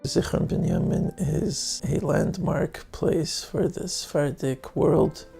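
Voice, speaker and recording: Very gravelly, higher-pitched man giving testimonial. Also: steady tone, takes it slowly, warm tone. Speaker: community member giving a testimonial. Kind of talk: promotional video testimonial. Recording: music playing behind the voice.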